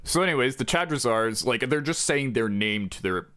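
A man co-hosting a podcast, talking casually and rambling with joking asides and quick self-corrections. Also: medium pitch, lively intonation, sharp tone, clean tone. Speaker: podcast co-host. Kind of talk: casual chat.

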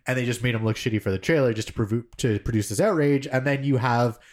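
A man's voice: mid-range voice, fast, darkish tone. Man, podcast co-host, casual chat, mid-range voice, rambling, filler-heavy.

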